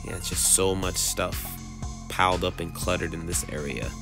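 A man's voice: sits low, steady tone, moderate pace, vocal fry. A man in his thirties giving a casual, musing vlog commentary, often repeating phrases and asking rhetorical questions. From moved to California in 2005. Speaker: vlogger. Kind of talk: casual observational vlog narration. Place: outdoors on city streets and in a car, phone vlog. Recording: background music under street narration.

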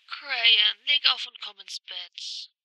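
A woman's voice: fairly high pitch, animated, slow, pushed and bright, dry recording, heavily acted, low-quality recording. A woman voicing an impatient, assertive female cartoon pony in an amateur fan audio drama, sounding exasperated. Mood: annoyed, bossy.

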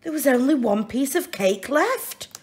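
A woman reading a children's story aloud in an expressive, deliberate way, with careful phrasing. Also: high voice. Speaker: storybook reader. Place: indoors, reading a picture book at a wooden table.

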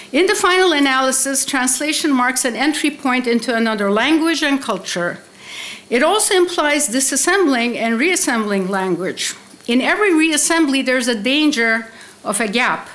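A middle-aged woman speaks in a fairly high-pitched voice as she presents a formal academic lecture. Her delivery is deliberate and scholarly, largely read out, with weight placed on key terms. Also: a bit bright. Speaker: keynote speaker, literary scholar. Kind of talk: academic conference lecture, mostly read from prepared text. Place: indoor conference hall, seated at a desk with laptop. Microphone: desk microphone on a stand.

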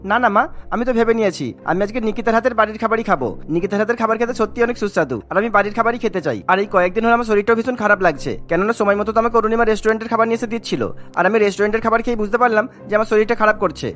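A man's voice: high-pitched, rapid-fire, fairly bright tone. A man voicing an earnest, insistent young husband in a cartoon family drama. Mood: firm. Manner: insistent, protesting.

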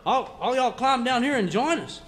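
A man's voice: very high voice, lively intonation, normal speed, fairly bright tone, big-room sound, a little hiss or hum. A man playing a naive cowboy in a comic radio western, folksy, hesitant and wide-eyed. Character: naive, gee-whiz high-voiced young cowboy.

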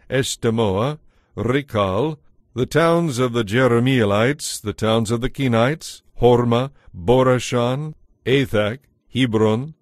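A man narrating a dramatized Bible as scripted storytelling, in a solemn, measured manner. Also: medium pitch, darkish tone, no room sound, clean studio sound.

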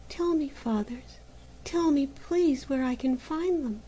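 A woman voicing a gentle, mistreated young girl who speaks softly and pleads timidly. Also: high-pitched, steady tone, moderate pace, voice pushed up, lightly acted. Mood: pleading.

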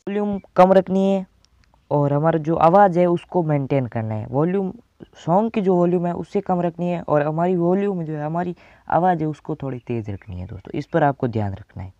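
A man's voice: fairly high pitch, animated, a little fast, mellow, dry recording, dull audio. A teenage male from India giving a casual step-by-step tutorial, addressing viewers as friends and often checking they follow. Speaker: tutorial presenter.

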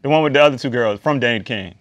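Man, game show host, brisk, teasing, studio. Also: mid-range voice, a bit bright, no echo. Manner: brisk, teasing, runs the rounds. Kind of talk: hosting a music quiz.

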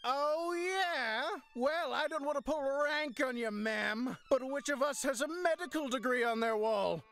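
A man's voice: very high voice, measured pace, a bit bright, a little hiss or hum, heavily acted. A man voicing a breezy, smug, oblivious older doctor in an anime parody, folksy in manner. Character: quack older male doctor, breezy and tactless. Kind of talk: anime parody dub acting.

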